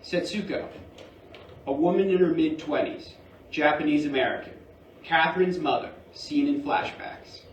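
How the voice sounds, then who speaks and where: Male stage-directions narrator, measured and deliberate, roomy distant sound. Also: on the high side. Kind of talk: scripted narration at a staged play reading. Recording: roomy, distant mic, faint noise.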